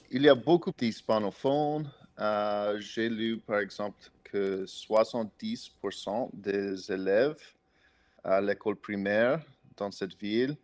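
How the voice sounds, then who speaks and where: A man with an American accent, a native English speaker, speaking as a podcast guest in careful, hesitant conversation full of restarts. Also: lowish voice. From United States. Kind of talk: casual conversation.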